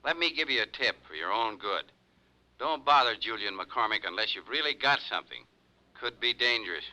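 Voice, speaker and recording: A man's voice: on the low side, lively intonation, brisk, bright, forceful tone. An actor playing a friendly, easygoing insurance company man in a 1950s radio drama.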